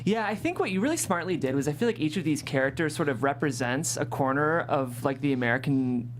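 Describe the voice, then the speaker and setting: A woman's voice: low-pitched, animated, fairly quick, a little hiss or hum. A woman hosting a script read, narrating stage directions and chatting with guests. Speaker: host and stage-direction reader.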